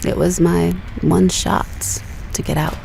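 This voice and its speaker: A woman's voice: on the low side, flat delivery, a little slow, creaky, darkish tone, a little hiss or hum, low-quality recording. An actress playing a guarded, wry young woman explorer in an intimate sci-fi audio drama, with a dry, understated, teasing delivery over a spaceship comms link. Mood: wistful, dry.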